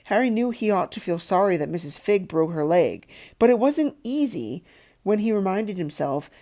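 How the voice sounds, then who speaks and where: A woman's voice: medium pitch, big pitch swings, moderate pace, mellow. A woman reading a children's novel aloud as storytelling narration. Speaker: audiobook reader.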